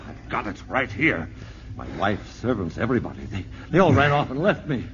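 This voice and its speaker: A man's voice: big pitch swings, a little fast. An actor playing a panicked wealthy man who pleads and bargains desperately, in a 1950s radio drama. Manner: desperate, pleading, voice cracking higher. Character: panicked rich man begging to buy a seat. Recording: muffled old radio broadcast with loud hiss.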